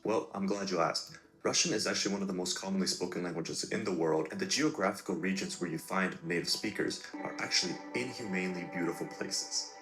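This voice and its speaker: A man's voice: sits low, brisk. A man giving upbeat, joking scripted narration about learning a language. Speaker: language-learning video creator. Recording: roomy echo with faint music.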